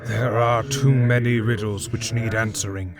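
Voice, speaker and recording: A man's voice: fairly low pitch, steady tone, over music. A man playing a grave, ancient god in a fantasy audio drama, speaking in a formal, stately and foreboding manner. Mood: solemn, commanding. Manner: solemn, formal, measured.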